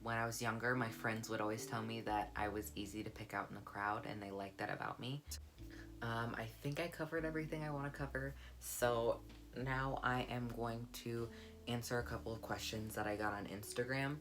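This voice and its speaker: Deep-voiced young woman, candid and warm. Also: fairly even intonation, brisk. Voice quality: deep, low voice; warm, comforting. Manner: candid, reflective, soft-spoken. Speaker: vlogger. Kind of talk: personal vlog monologue. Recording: guitar music playing underneath. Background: guitar music.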